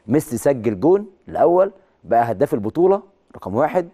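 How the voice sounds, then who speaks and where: An Egyptian man in his thirties delivers a sports news monologue with a hoarse, mellow voice. He talks emphatically and pushes his pitch up on key words. He speaks standing in a large TV studio on a lapel mic. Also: fast. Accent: Egyptian Arabic. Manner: emphatic, rising to excited peaks on key words. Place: large TV studio with video wall.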